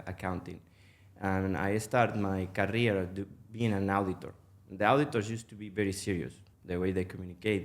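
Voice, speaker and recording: A middle-aged man from Venezuela, Spanish native, speaking English with a Venezuelan accent, halting and full of fillers in a casual interview. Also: low voice. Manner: halting, many fillers and restarts. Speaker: guest, mergers and acquisitions manager.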